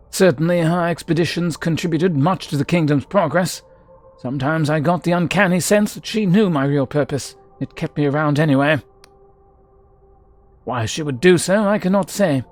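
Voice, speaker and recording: A man's voice: on the high side, voice pushed up, close to an everyday voice. A man voicing a proud, theatrical mercenary archaeologist from a fantasy game, telling his past in florid, formal speech. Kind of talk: character voice in a fantasy game read-through.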